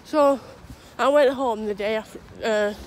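A woman's voice: a little high, unhurried, a little mellow. Rambling young woman with a cold. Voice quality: thick, clogged voice. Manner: rambling, hesitant, many restarts and fillers. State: has a cold, sore throat, runny nose. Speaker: vlogger. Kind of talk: casual rambling update. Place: outdoors on a street, walking dogs. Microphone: phone held low at arm's length. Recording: phone recording outdoors with traffic noise.

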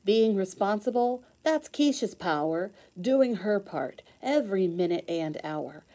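A woman teacher reading a children's storybook aloud in an expressive sing-song way with clear emphasis. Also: medium pitch, measured pace.

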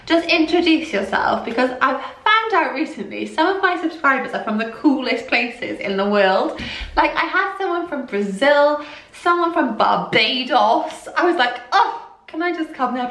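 A woman in her thirties from Wales with a slight Welsh accent, chatting brightly to camera about things she has bought, with lots of rambling asides. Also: high-pitched, lively intonation, moderate pace, recorded from a distance. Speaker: fashion vlogger. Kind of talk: casual haul chat to camera. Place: small bright room indoors, in front of a door. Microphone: camera a few feet away. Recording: roomy sound, mic set back.

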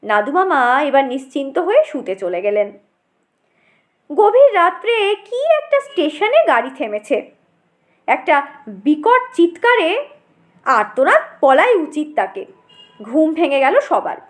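A woman's voice: high-pitched, very animated, slow, pauses often. A woman narrating a humorous short story expressively, as an audiobook storyteller.

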